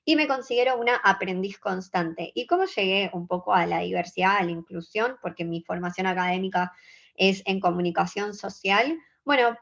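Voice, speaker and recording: A woman in her twenties with an Argentine accent, giving an earnest, measured conference talk and checking in with her audience. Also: medium pitch, vocal fry, fairly bright tone, dull audio. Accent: Argentine Spanish. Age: 20s. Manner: earnest, measured, engages the audience with short questions. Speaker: conference speaker.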